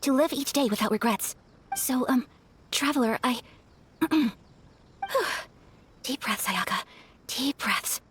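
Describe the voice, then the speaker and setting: A woman playing a refined, earnest young noblewoman in a video game, speaking politely and formally in a gentle, hesitant way. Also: high voice, slow, long pauses, slight background noise. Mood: shy, resolved. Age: young adult. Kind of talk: video game character acting. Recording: faint music behind the voice.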